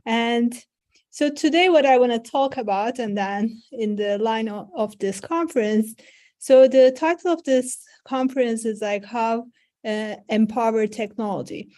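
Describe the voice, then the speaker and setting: Warm-voiced woman lecturing. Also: a little high, unhurried. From Turkey. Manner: friendly, explanatory, many fillers. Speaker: professor giving an invited talk. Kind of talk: online conference presentation. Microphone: video call.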